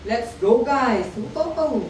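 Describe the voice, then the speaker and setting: A woman's voice: high voice, lively intonation, unhurried, a little mellow. A young woman playing a bossy student who leads her friends, in an amateur school radio drama. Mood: brisk, eager. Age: teenager or young adult. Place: school audio-visual room. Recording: very echoey hall, distant mic, noise.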